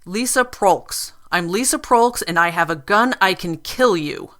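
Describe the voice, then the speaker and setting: A woman voicing a furious, unhinged gunwoman in a storybook reading, yelling shrill threats. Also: lively intonation, unhurried. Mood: menacing. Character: screaming unhinged gunwoman, adult female.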